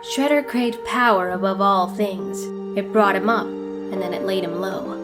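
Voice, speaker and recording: A woman's voice: medium pitch, close to an everyday voice. Woman as a cold, imperious ninja clan leader, music behind. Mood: cold contempt. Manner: haughty, measured, formal. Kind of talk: motion comic villain monologue.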